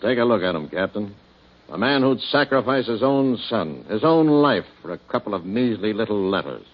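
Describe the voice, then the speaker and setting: An actor playing a smug, scheming blackmailer, sneering and taunting in 1940s radio drama style. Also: male voice, lowish voice, very animated, normal speed. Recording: dull, muffled old radio recording.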